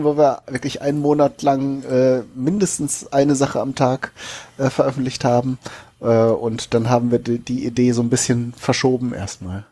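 A man's voice: mid-range voice, dark tone. A man co-hosting a podcast, explaining things in a casual, unscripted way. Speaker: podcast co-host.

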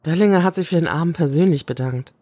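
Self-assured woman cook played by an actress, assertive, matter-of-fact, husky, dry close sound. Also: low-pitched, quick pace, dark tone, dry recording. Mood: calm. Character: self-assured female cook who holds her ground. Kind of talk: German audio drama acting.

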